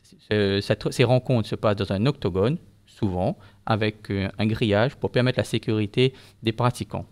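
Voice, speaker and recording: A man's voice: lowish voice, moderate pace, a little mellow. A man hosting an interview, introducing his guest and asking formal, polite questions. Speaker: interview host.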